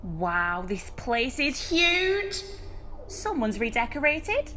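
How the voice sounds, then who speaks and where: A woman acts as a breezy female alien time traveller in an audio drama. Her voice is highish and swoops about, curious and cheerfully intrusive. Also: sing-song, takes it slowly, sharp tone, a little hiss or hum, full character voice.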